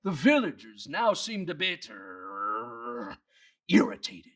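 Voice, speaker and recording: A woman tells a children's bedtime story with theatrical pauses. Her pace is slow and her pitch very lively, slightly distant from the mic with music underneath. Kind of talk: animated scripted storytelling for children.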